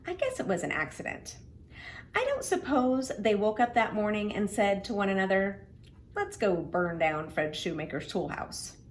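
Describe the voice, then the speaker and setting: A middle-aged woman reading to children in an expressive, simple, explanatory way. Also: medium pitch, normal speed, a little hiss or hum. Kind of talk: children's read-aloud with friendly introduction.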